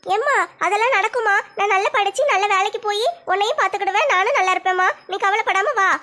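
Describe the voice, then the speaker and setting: A woman voicing a modest, loving teenage daughter in a family skit, with a soft, pleading way of talking. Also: very high voice, fast, slight background noise.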